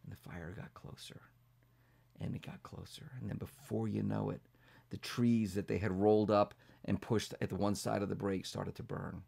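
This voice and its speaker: A man telling a children's bedtime story as a pastor, building it up dramatically from hushed to emphatic. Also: fairly low pitch, level tone, normal speed, gravelly.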